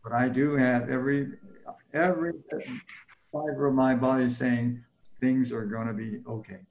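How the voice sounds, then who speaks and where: An older man remembering his life as a guest on a video call, talking haltingly with many fillers and restarts. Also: medium pitch, fairly even intonation, a little mellow, rough recording. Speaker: panel guest. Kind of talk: personal recollection in an interview. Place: home room, indoors.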